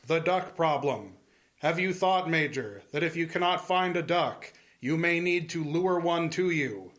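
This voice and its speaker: A man performs an enigmatic circus ringmaster for an audio drama. He speaks somewhat high, with careful formal phrasing. He is theatrical, courteous and dry. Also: animated, a little slow, fairly bright tone.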